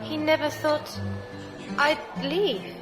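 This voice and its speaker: High-voiced, hesitant young woman. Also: fairly even intonation, slow. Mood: earnest, hesitant. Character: young woman leaving a space colony, polite, hesitant. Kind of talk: sci-fi audio drama acting. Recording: long hall reverb over music.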